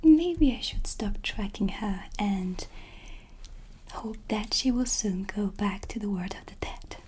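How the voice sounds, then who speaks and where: Woman playing a slow, whispering kindly witch. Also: medium pitch, lively intonation, slight background noise. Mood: resigned. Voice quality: breathy, whispery soft voice. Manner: gentle, unhurried, confiding. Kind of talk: ASMR cinematic roleplay. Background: ambient music.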